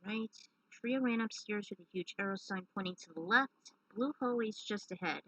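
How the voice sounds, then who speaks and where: A woman narrates a story in an informal way, mixing reading with chatty commentary. Her voice sits at an ordinary pitch. The sound is dry and close, with no room around it. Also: normal speed, a bit bright, no echo, rough recording. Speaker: fan fiction narrator. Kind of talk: story narration with casual asides.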